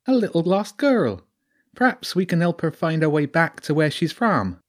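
A man voicing a sneering male weasel leader with a high voice and a slight rural southern English twang, taunting and menacing. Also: sing-song, normal speed. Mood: mocking.

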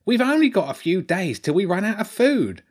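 Man voicing a high, pompous, gluttonous rat lord. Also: sing-song, normal speed. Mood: aggrieved. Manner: grand, complaining.

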